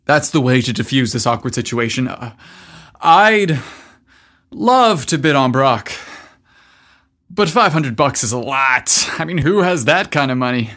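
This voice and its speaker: A man's voice: medium pitch, animated, measured pace, long pauses, fairly bright tone. Man playing shy, hesitant young nerd. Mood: awkward, nervous. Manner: hesitant, self-deprecating. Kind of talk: audio storybook character voice.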